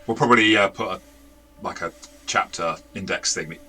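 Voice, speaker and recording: A man's voice: low voice, moderate pace, music in the background. A man co-hosting a casual review chat as the game master, chatty and stammering on restarts. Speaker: podcast co-host, game master.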